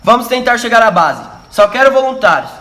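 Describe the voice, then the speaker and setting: Man as guerrilla commander, bright forceful voice, curt, urgent, decisive, amateur radio play, echoey recording. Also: very high voice, very animated, fairly quick, exaggerated voice. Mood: commanding. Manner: curt questions and barked orders. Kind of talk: amateur radio drama acting.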